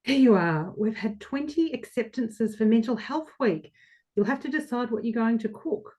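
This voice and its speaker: A woman playing a brisk, no-nonsense and slightly dim hospital assistant in a comic play, matter-of-fact and nagging. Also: a little high, expressive, darkish tone. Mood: brisk, exasperated. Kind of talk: comic stage play reading.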